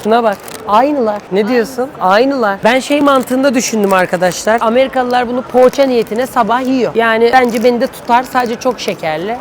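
A man's voice: high-pitched, slight background noise. A young man chatting casually to the camera as a vlogger, often exclaiming and complaining in a playful way. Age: young adult.

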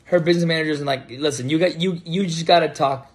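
An American man in his thirties telling a story casually to camera, animated and halting as he repeats words. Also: on the high side. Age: 30s. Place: home kitchen, indoors. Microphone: phone propped on table at arm's length.

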